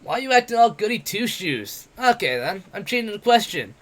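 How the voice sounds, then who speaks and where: Man putting on a loud jeering drunk wolf-man. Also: high voice, very animated, moderate pace, voice pushed up, full character voice. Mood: sneering. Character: brash, mocking drunk male wolf-man adventurer.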